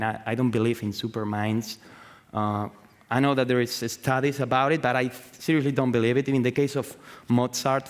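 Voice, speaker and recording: A man with a Spanish accent speaks on stage in a big hall with long reverb. He is an artist giving an informal talk in a mid-pitched voice. His English comes haltingly as he searches for words, and he swears casually.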